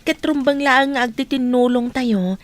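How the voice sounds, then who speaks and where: A woman's voice: sits high, normal speed, lightly acted. A woman playing a caring, sympathetic neighbour offering comfort in a radio drama. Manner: sympathetic, encouraging. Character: Marcy, caring younger neighbour consoling a widow.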